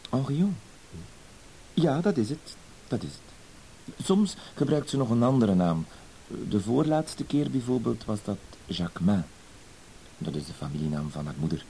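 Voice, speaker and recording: An actor playing a meek, apologetic deceived husband in a radio play, stammering politely and putting himself down. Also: male voice, medium pitch, very animated, a little slow, gravelly, long pauses, mellow, low-quality recording. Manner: hesitant, stammering, polite, self-deprecating. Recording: hissy old radio play recording.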